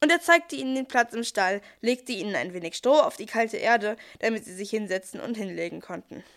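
A woman's voice: mid-range voice, expressive, a little fast, a bit bright. A woman playing a busy, down-to-earth archangel who tells the story in a scripted nativity audio play, chatting directly to the listeners. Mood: matter-of-fact, pleased. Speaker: narrator.

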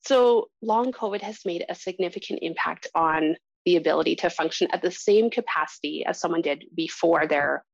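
A woman moderating a panel discussion, putting measured, formal questions to the guests. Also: fairly low pitch, creaky, no room sound. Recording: dull, dry lo-fi audio.

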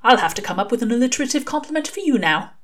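A woman's voice: fairly high pitch, big pitch swings, racing, voice pushed up. A woman voicing a prim, earnest male angel, fussy and hopeful, with formal, mannered speech. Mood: pleased.

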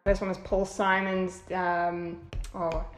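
A woman's voice: lowish voice, slow. A young woman in her late twenties, a podcast guest chatting casually and rambling a little over a video call. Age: 20s. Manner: relaxed, rambling with fillers and restarts. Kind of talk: casual interview chat. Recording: roomy echo.

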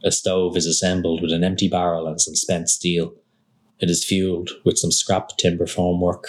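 A man reading a literary text aloud as scripted narration, in measured, deliberate phrases with pauses. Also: low voice, monotone, darkish tone.

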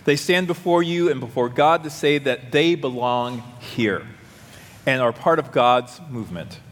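A man's voice: mid-range voice, unhurried, fairly bright tone. A man pastor addressing the congregation and welcoming new members into the church. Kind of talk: church service leading. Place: large church sanctuary. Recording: church hall reverb with congregation noise.

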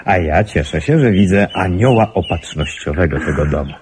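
An actor playing a jovial, flirtatious gallant secretary in a radio drama, effusive and teasing, over a noisy recording. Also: male voice, low voice, lively intonation, normal speed.

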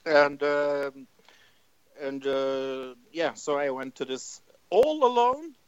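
A man's voice: on the high side, animated, takes it slowly, clean tone, dry recording. A man co-hosting a casual movie chat, telling a rambling story with loose phrasing. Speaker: podcast co-host. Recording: dull lo-fi mic.

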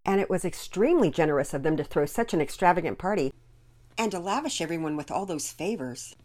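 A woman voicing a caring but firm mother in an audiobook story, measured and probing. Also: fairly low pitch, animated, a little mellow, close to an everyday voice. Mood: pleasant, puzzled.

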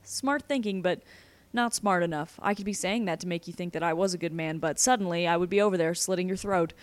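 A woman's voice: on the low side, brisk, dry recording, lightly acted. A woman voicing a sly, menacing male assassin prisoner with a taunting manner, in a story reading. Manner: taunting, sardonic.

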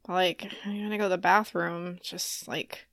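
A woman's voice: fairly low pitch, flat delivery, moderate pace, a bit bright, dry recording. A woman chatting casually and informally as a podcast co-host, easily amused. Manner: laughs readily. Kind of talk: casual chat.

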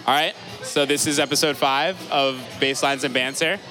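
A man's voice: medium pitch, normal speed. Man hosting an interview over DJ music. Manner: relaxed, affirming, brief interjections. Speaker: podcast host. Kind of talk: casual interview. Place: busy venue with a DJ playing music. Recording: live event with music behind.

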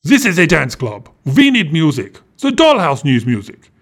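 A man's voice: on the high side, huge pitch swings, moderate pace, fairly bright tone, exaggerated voice. Man voicing a female villain, creepy doll collector, sweetly menacing, theatrical, says darling a lot, audio story. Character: creepy glamorous woman who collects dolls. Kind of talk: children's audio story acting.

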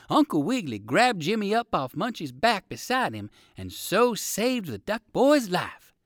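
A man narrating a children's story in an expressive, dramatic manner. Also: a little high, no echo, studio quality.

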